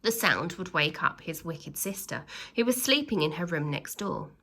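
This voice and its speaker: A woman reading a children's story aloud expressively, with theatrical, drawn-out emphasis on key words. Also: fairly low pitch, animated, pushed and bright.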